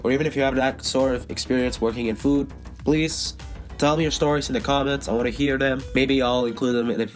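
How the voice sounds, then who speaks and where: A man's voice: medium pitch, steady tone, a little fast, faint music behind. A young man with a cold and a stuffed-up voice, telling a story in a casual vlog with dry sarcastic asides. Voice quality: stuffed-up nasal voice. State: has a cold. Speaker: vlogger.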